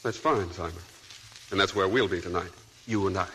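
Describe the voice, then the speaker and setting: Un asesino fugado, frío y amenazante. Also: male voice, low-pitched, fairly quick, husky, full character voice. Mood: menacing. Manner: quiet and threatening. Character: menacing escaped male murderer, coldly calm. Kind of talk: 1940s radio acting. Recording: old noisy radio broadcast.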